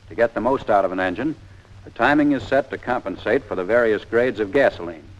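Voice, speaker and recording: Low-pitched American man narrating a training film. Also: a little fast, dull audio. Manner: plain-spoken, methodical, matter-of-fact. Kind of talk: scripted instructional narration, 1940s army training film. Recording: 1940s film soundtrack.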